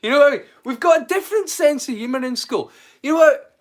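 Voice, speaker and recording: A man's voice: extremely high pitch, expressive, fast talker. A Scottish man chatting casually on a live stream as a storyteller, rambling and swearing freely.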